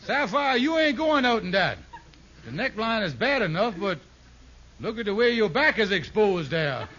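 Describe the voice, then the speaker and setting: An actor playing a blustering, wisecracking henpecked husband with folksy grammar and a grumbling manner in a 1950s radio comedy. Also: male voice, high-pitched, very animated, normal speed. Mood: indignant. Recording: muffled, very noisy old radio recording.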